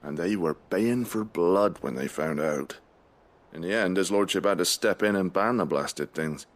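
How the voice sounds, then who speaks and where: An actor playing a gruff, talkative mercenary gate guard in a video game, with a British accent and a blunt, colloquial, grumbling manner. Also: male voice, low-pitched, normal speed, close to an everyday voice. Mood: grudgingly helpful.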